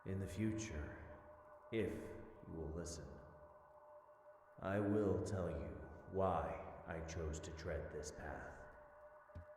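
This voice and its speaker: An actor playing a stern, solitary old male sword master in a video game, with a grave, formal, measured manner. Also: low-pitched, darkish tone. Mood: wistful, solemn. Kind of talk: scripted video game dialogue. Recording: cavernous echo, long reverb, noisy.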